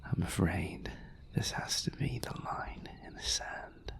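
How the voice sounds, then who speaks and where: A man playing a seductive, hungry vampire in an intimate audio roleplay, courteous and deliberate, with a breathy, gravelly voice. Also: whispered, lightly acted. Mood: restrained, needy. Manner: slow, deliberate, courtly endearments.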